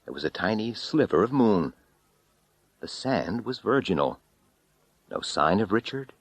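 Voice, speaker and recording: Man, low-pitched, dry close sound, dramatic horror audiobook narration, intense, theatrical. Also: creaky, slightly rough, no echo. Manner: theatrical, intense, swinging from hushed to loud. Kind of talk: dramatic first-person horror story narration.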